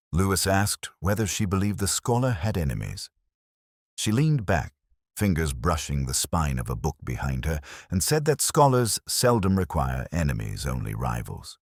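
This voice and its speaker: Very deep-voiced brooding detective played by a man. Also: breathy edge, no echo, studio quality, exaggerated voice. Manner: measured, literary, reflective. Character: brooding, observant male police detective narrating his case. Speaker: narrator. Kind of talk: scripted first-person mystery narration.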